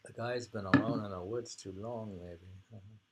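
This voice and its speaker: A man casually reading poetry and talking it over in a halting, musing way. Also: lowish voice, fairly even intonation, heavy background noise. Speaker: reader and host of poetry readings.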